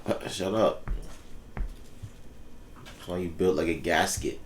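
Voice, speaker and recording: A man's voice: low voice, slow. A young man from the Bahamas, a musician talking easily as an interview guest, laid-back and full of ums. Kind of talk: casual interview chat. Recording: echoey room with faint background noise.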